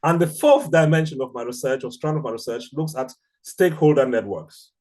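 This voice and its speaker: A middle-aged man with a Cameroonian accent giving an academic seminar lecture in a measured, deliberate, explanatory manner. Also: medium pitch, big pitch swings, darkish tone. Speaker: academic researcher, seminar speaker.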